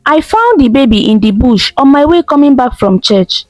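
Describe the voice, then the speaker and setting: A woman voicing a tender, tearful young wife, speaking softly. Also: high-pitched, animated, normal speed, no echo, faint music behind. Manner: soft, heartfelt.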